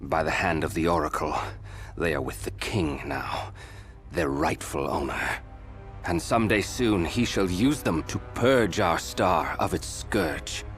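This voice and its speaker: Slow, stately nobleman. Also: deep voice, big pitch swings, gravelly, a bit bright, full character voice. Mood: defiant. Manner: stately, archaic, formal. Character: solemn, proud male noble loyal to rightful king. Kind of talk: dramatic video game voice acting. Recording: music over the voice.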